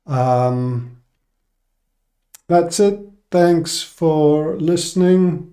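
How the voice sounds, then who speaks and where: A Scottish man speaks as a teacher and scientist, explaining things informally to his viewers. His voice is dark and relaxed, with no hard edge to it. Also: a little high, drawn-out words. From Scotland. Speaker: English teacher and medical research scientist.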